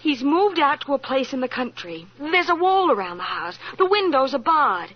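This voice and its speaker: A scheming, dissatisfied secretary in an old radio mystery. Her voice is high and dramatic, full of complaint. The vintage recording sounds dull and narrow. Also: sing-song, normal speed. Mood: resentful. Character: bitter, greedy, discontented female secretary. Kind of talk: 1940s radio acting.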